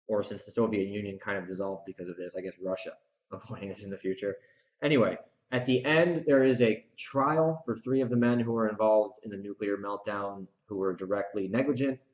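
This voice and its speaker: A man's voice: lowish voice, a little mellow. A man hosting a solo podcast in a rambling, emphatic, preachy way, repeating phrases for stress. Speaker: podcast host and author. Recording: roomy echo, slightly dull lo-fi sound.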